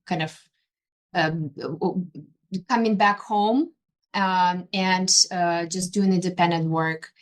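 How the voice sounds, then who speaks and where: A woman from Ukraine, an interior designer and artist, talking in an interview with frequent pauses, fillers and restarts. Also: medium pitch, a bit bright. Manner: hesitant, many fillers and restarts. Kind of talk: conversational interview.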